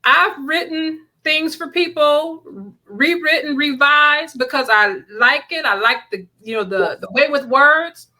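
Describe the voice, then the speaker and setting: A woman's voice: high voice, a little slow, hardly any pauses, bright, forceful tone, rough recording. A woman speaking as a guest author, answering in a conversational, sincere and reflective way about matters of faith.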